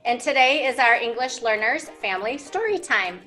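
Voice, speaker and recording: A woman's voice: a little high, expressive, moderate pace, sharp tone, soft background music. An American woman in her thirties hosting an interactive storytime for young children, speaking in simple, encouraging, teacherly phrases and asking questions. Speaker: library children's storytime host. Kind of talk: interactive storytime lesson for young English learners. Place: indoor library room, seated at a whiteboard.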